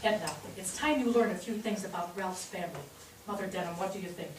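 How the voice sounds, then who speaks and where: A woman playing a brisk, motherly middle-aged daughter-in-law managing the household in a staged play reading. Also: mid-range voice, lightly acted. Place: theatre stage, recorded from afar. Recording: distant noisy microphone in echoey theatre.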